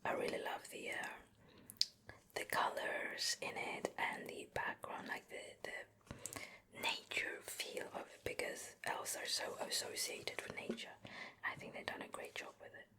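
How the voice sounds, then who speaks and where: Softly whispering woman, close ASMR. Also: measured pace. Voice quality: breathy unvoiced whisper. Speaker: ASMR video creator. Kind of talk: soft whispered ASMR show and tell. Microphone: close ear-to-ear ASMR microphone.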